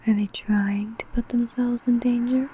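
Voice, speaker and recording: A woman playing an obsessive, predatory female vampire who poses as a sweet coworker, in a soft, breathy ASMR roleplay. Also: a little high, fairly even intonation, measured pace, a little hiss or hum, close to an everyday voice, low-quality recording. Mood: brooding, secretive. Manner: soft, breathy, whispery murmuring.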